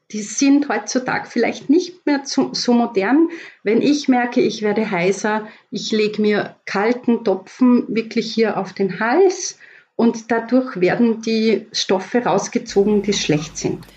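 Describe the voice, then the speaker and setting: A woman with an Austrian accent, a voice coach giving calm, explanatory tips in a podcast interview. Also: fairly high pitch, slow, muffled recording, slight background noise. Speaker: voice coach guest.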